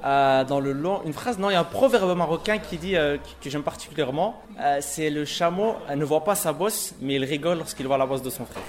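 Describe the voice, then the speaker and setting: A man's voice: fairly high pitch, a little fast, hardly any pauses. A young man, a student, giving brief and hesitant answers in a street interview. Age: young adult.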